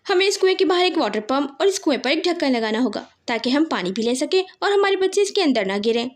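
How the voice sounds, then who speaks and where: A high-pitched mother sparrow from a cartoon fable, played by a woman. She is sensible and brave, taking charge with earnest urging. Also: sing-song, fast.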